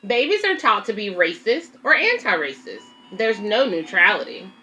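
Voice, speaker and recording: A woman's voice: mid-range voice, big pitch swings, moderate pace, bright, forceful tone, highs cut off, faint music behind. A woman hosting a children's read-aloud, reading a picture book and explaining it simply to young listeners.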